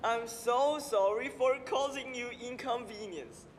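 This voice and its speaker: A man's voice: very high voice, measured pace, slight background noise. A young man playing a Taiwanese student in a school stage skit, speaking earnest, carefully recited English. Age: young adult. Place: large auditorium stage. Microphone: stage floor mics from afar. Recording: hall reverb with faint music.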